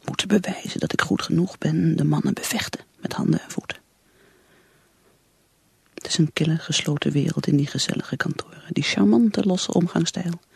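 An actress playing a bitter, driven middle-aged career woman who drinks, in a radio play, with a breathy, halting, brooding delivery. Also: fairly low pitch, a little mellow, dry recording, full character voice.

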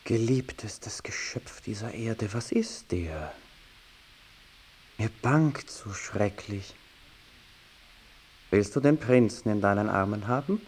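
An actor playing a lovesick, melancholy gentleman poet of old Vienna, deliberate and pleading in a literary monologue. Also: lowish voice, lively intonation, a little hiss or hum. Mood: anxious, tender. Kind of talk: literary audio miniature, dramatic monologue.

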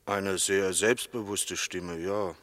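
An actor playing a reserved male witness in a radio play, answering quietly and carefully in court. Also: deep voice, fairly even intonation. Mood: quiet, cautious. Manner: quiet, hesitant, measured. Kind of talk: German radio drama acting. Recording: distant voice in long hall reverb.

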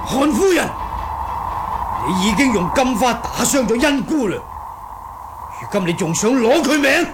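A man's voice: extremely high pitch, lively intonation, measured pace, pauses often, over music. An actor playing a righteous older swordsman in a Hong Kong Cantonese radio drama, stern and emphatic. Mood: angry, shocked. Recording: noisy radio drama sound effects.

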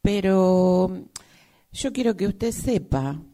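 A woman acting as a weary, aggrieved seamstress who protests haltingly to her boss in an improvised radio drama. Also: medium pitch, expressive, mellow. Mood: tired, defiant.

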